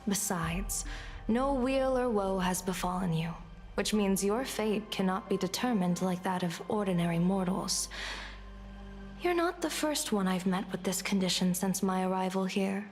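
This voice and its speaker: A woman plays a shrewd diviner in an anime game. The voice sits at a middle pitch, measured and formal, with a composed, questioning air. Soft music runs behind it. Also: close to an everyday voice. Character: composed, shrewd female diviner, coolly interrogating.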